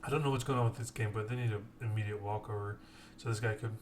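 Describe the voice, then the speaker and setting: A man's voice: on the low side, fairly even intonation, fast talker. A man casting an esports tournament on a live stream, chatting casually and apologizing a lot. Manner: chatty, self-deprecating, apologetic. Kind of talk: casual late-night live stream chat. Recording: keyboard typing noise behind voice. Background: clear keyboard typing.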